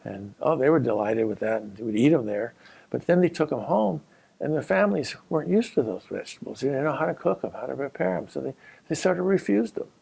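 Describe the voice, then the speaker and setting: Older man with a gravelly, husky voice. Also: mid-range voice, lively intonation, warm tone, low-quality recording. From Colorado, USA. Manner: unhurried, halting, with frequent pauses and "uh" fillers. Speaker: former Peace Corps volunteer and geography professor. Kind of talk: reminiscing personal story.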